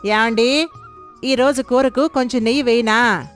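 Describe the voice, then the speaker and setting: Sharp, scolding village wife in a storybook, voiced by a woman; slightly high voice over light music. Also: steady tone, unhurried, natural voice. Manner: scolding, questioning.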